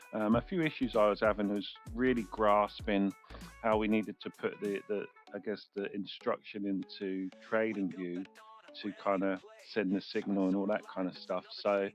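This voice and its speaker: A man on a live call, chatting casually as a customer in a hesitant, meandering, colloquial way. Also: on the low side. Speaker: customer calling in with questions. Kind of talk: casual chat. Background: music.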